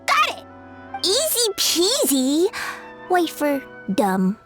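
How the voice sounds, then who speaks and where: A woman voicing a small, childlike creature in a video game, eager and excitable. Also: very high voice, takes it slowly, full character voice. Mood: eager, confused. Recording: music behind the voice.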